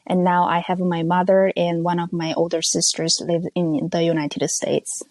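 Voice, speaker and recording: Guest, woman, 30s, North Korean accent, Korean native speaker; measured, careful delivery over a phone line, low register, dry sound. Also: flat delivery, darkish tone, no echo. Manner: measured, careful, with frequent pauses and fillers. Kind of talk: personal testimony interview. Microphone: phone call.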